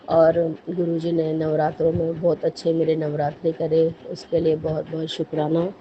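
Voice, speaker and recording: A middle-aged woman with a hoarse voice from a cold, earnestly recounting a devotional testimony in a halting way, often repeating her thanks and apologies. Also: lowish voice, lo-fi sound, slight background noise.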